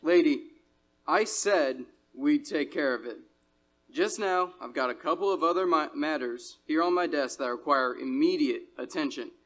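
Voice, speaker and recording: A man plays a weary city cop in a vintage 1930s radio play. His fairly high male voice is matter-of-fact and brusque, patronizing whoever is on the other end of the line. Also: normal speed, a bit bright, lightly acted. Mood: brusque, dismissive. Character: dismissive, world-weary male city police sergeant on telephone. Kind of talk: 1930s radio drama acting, performed live.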